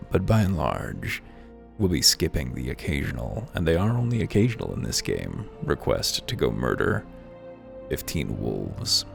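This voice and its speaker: Very deep, gravelly man narrating gameplay. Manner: wry, offhand, reads game text aloud. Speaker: let's play host. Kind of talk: casual gameplay commentary. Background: faint game music.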